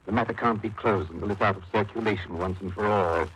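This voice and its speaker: An actor playing a florid, courteous and menacing blackmailer with pompous, theatrical diction in a 1940s radio mystery. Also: male voice, fairly low pitch, fast, a bit bright, slight background noise, natural voice. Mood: insistent, threatening. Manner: pompous, elaborately polite, theatrical. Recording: dull 1940s radio recording.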